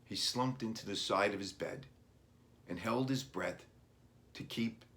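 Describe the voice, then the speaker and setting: A man with a deliberate manner, an author reading a storybook aloud for children. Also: fairly low pitch, a bit bright. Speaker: author reading own book.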